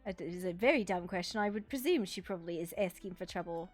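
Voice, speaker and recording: A woman's voice: fairly low pitch, fast talker, soft background music. A woman playing a tabletop role-playing game, chatting casually in character, playful and swearing freely.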